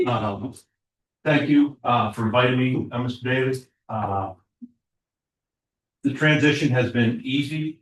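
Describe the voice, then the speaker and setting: A man serving as code enforcement officer, reporting to a borough council with a halting delivery, many filler words and repeated starts. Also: mid-range voice. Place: municipal council chamber. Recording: echoey chamber audio from far off.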